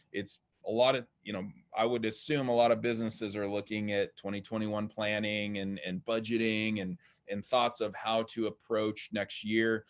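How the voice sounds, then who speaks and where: A man hosting a live online interview, talking in a rambling way with many fillers and restarts. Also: fairly low pitch. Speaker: host. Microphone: video call.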